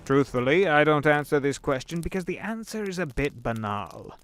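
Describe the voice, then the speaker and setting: A man voicing the Devil as a sly, smooth-talking businessman in an audio drama, drawling and knowing. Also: fairly high pitch, expressive, moderate pace, a bit bright. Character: the Devil posing as suave rich businessman, middle-aged man. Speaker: voice actor. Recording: with background music.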